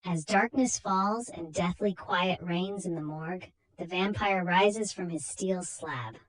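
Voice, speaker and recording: Female narrator, slightly low voice, dramatic storytelling read of pulp short fiction. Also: poor audio.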